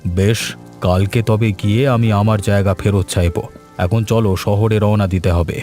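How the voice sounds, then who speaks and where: A man voicing a pompous, authoritative village landlord in a cartoon folk tale, stern and deliberate. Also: fairly low pitch, steady tone, mellow, soft background music, lightly acted. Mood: stern, pondering. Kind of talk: animated folk tale voice acting.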